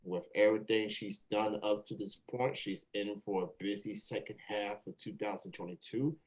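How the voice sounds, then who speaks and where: A man hosting a casual online interview, talking in a halting way and repeating words. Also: fairly low pitch, steady tone, poor audio. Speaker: show host interviewer.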